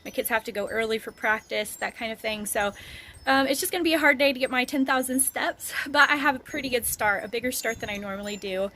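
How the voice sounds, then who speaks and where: A woman vlogging her day in a casual, rambling way, full of ums, her voice hoarse. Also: a little high, fast, bright, forceful tone. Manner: chatty, rambling with many ums. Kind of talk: casual daily vlog to camera. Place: outdoors on a rural road, wind.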